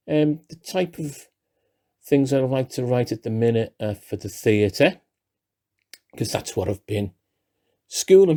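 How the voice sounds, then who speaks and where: A man's voice: medium pitch, warm tone. Chatty sixties man with Liverpool accent. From Liverpool, England. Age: 60s. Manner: chatty and self-deprecating, dry humour. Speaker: playwright. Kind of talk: relaxed talking-head interview.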